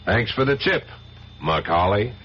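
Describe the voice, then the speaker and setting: An actor playing an easygoing but guarded investigator, understated and brisk, in an old-time radio drama. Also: male voice, lowish voice, animated, a little hiss or hum. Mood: cool, businesslike. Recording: dull old radio recording.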